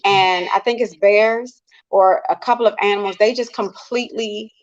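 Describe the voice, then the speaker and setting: An American woman in a casual panel discussion, speaking in an earnest, expressive, conversational way. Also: medium pitch, normal speed. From United States. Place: online video call. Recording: dull, lo-fi online call.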